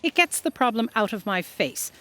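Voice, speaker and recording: A woman's voice: fairly high pitch, very animated, moderate pace, fairly bright tone. A woman playing an imperious, impatient boss in a comic sci-fi audio drama, bossy, curt and dismissive. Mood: brisk authority. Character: imperious, impatient female editorial boss of destiny bureau.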